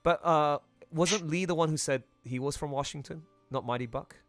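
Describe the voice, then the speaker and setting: A man's voice: fairly high pitch, normal speed, music in the background. A man hosting a casual group chat on a livestream, often jumping in and repeating his phrases. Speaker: livestream host.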